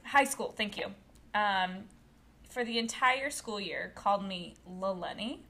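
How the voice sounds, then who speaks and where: A woman in her late twenties, originally from Brazil, co-hosting a casual podcast chat, outspoken and quick to laugh. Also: medium pitch, a bit bright. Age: late 20s. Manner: chatty, outspoken, laughs a lot. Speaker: podcast co-host.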